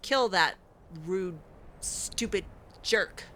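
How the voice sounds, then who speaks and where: A woman's voice: medium pitch, expressive, extremely slow, bright, forceful tone, a little hiss or hum. A woman playing a game in character, talking in a sarcastic, exasperated, dramatic way. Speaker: let's play host roleplaying her character.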